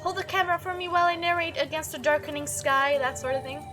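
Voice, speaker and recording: A woman's voice: sits high, fast, over music, natural voice. A woman voicing an upbeat, chatty young monster-hunting video maker in a visual novel read-through. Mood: excited. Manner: bubbly, casual, enthusiastic.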